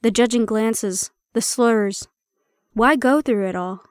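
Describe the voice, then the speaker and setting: A woman acts out a female handler in an audio roleplay, prickly on the surface and caring underneath. Her voice sits a little high and is recorded dry and close. She talks one-to-one in a measured, probing manner with a dry edge. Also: clean tone, no room sound. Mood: gentle, sympathetic. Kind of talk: intimate audio roleplay acting, one-sided conversation.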